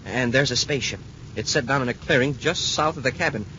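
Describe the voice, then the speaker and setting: Muffled old radio serial, male actor as young space cadet, medium pitch, earnest, deferential. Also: fast, bright, forceful tone, lightly acted. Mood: alert, earnest. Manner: earnest, respectful. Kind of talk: 1950s radio drama acting. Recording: muffled, faintly noisy 1950s radio recording.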